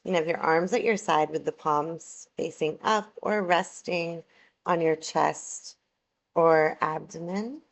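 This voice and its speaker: Slow, low-voiced woman guiding relaxation. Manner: soothing, measured, gently instructing with long pauses. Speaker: yoga nidra instructor. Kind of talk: guided relaxation meditation in a yoga class.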